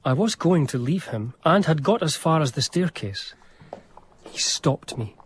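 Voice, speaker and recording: Earnest gentleman, noisy background. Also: on the high side, expressive, measured pace. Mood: uneasy, hurt. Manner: formal, earnest, reflective. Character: earnest, anxious, devoted gentleman biographer recalling his friend. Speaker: narrator. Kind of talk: first-person period radio drama narration.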